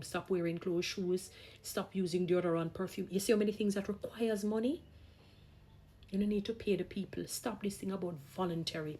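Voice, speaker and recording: A woman's voice: lowish voice, darkish tone, breathy edge. A woman vlogger giving opinionated talk in an emphatic, exhorting manner, repeating phrases for stress. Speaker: vlogger, gospel singer.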